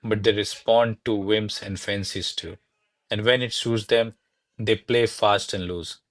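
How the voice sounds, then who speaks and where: A man acts a cautious secretary serving a great lady in a classical radio comedy. His voice is on the low side. He delivers formal verse in a measured, deferential, theatrical way. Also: steady tone, fairly bright tone. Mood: wondering, resolute.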